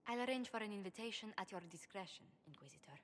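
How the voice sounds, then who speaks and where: A woman playing a poised diplomatic advisor in a fantasy game, speaking in a measured, courtly and earnest manner. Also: mid-range voice, lively intonation, lightly acted. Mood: formal, measured. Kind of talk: video game dialogue.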